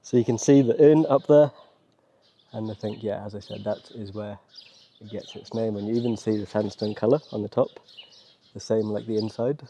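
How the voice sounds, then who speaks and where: A British man from Wales talking in a relaxed, conversational, appreciative way, like a casual travel vlog. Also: fairly low pitch, normal speed, a little hiss or hum. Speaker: travel vlogger. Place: outdoors and inside rock-cut tombs at a desert site.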